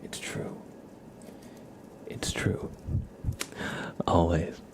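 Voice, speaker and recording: A man hosting an ASMR livestream, chatting casually in a soft, whispery murmur and gently repeating his phrases. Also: drawn-out words.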